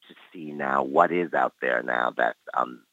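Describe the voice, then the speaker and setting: A man's voice: extremely low pitch, measured pace, bright, forceful tone, no echo. A man in his forties who grew up in Canada, reflecting easily on his dance career as an interview guest. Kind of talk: casual interview talk. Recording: dry, dull lo-fi recording.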